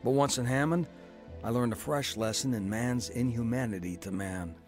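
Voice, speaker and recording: A man's voice: mid-range voice, moderate pace, soft background music. A middle-aged man telling his own story in earnest first-person narration for a radio drama. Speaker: narrator and lead.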